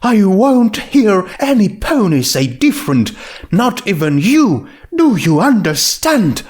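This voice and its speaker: Man putting on a refined lady pony, high pitch, genteel, motherly, unhurried, storybook character. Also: sing-song, a little mellow, full character voice. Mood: firm, supportive. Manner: genteel, fussing, affectionate endearments. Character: refined, motherly female pony dressmaker, caring and firm.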